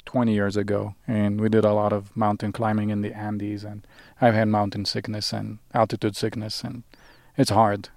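A calm man from Israel talks about the hike in an easygoing way, giving short and steady answers. His low voice sounds dry and close to the mic. He is recorded outdoors on a clip-on mic. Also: moderate pace, gravelly, few pauses, no room sound. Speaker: hiking partner, cousin. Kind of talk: casual conversation. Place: outdoors at a campsite. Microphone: clip-on mics.

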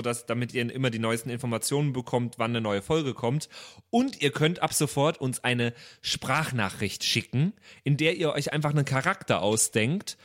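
Male podcast host, medium pitch, casual and chatty announcements.

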